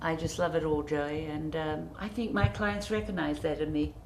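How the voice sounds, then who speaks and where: A middle-aged woman sits as a guest on a TV studio set, her voice on the low side. She talks graciously, stretching out words she wants to stress. A faint background hum sits under her lapel mic. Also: steady tone, normal speed, darkish tone, poor audio. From New Zealand. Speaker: interview guest, designer. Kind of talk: TV studio interview.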